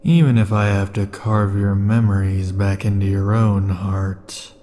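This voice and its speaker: A man voicing an obsessive, possessive immortal lover in an ASMR roleplay, speaking softly and slowly with dark chuckles, shifting between tenderness and threats. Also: fairly low pitch, little pitch movement, slight background noise, close to an everyday voice. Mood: cold threatening.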